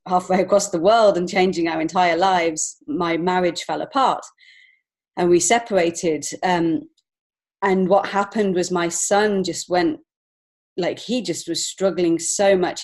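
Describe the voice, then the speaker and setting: Female podcast guest, fairly low voice. Emotionally open, informal personal storyteller, an author talking about her own life. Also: a little mellow. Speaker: podcast guest, children's book author and illustrator.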